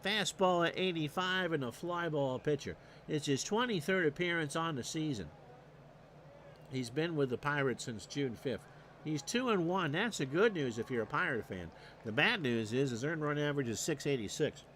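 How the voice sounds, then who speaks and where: A man doing solo play-by-play commentary on a replayed baseball game. He reads off stats with dry asides and gets louder on the big plays. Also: fairly high pitch, normal speed, a little hiss or hum. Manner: stat-heavy, dry asides, rising excitement on big plays. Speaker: play-by-play announcer.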